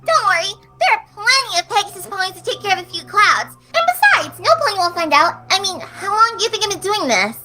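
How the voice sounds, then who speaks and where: A woman's voice: very high voice, big pitch swings, a little fast, few pauses. A woman playing a gleeful, sinister young female cartoon pony, giggly and sing-song with false cheer, in a horror story reading. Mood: sweetly menacing. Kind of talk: dramatized horror fan fiction reading. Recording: music behind the voice.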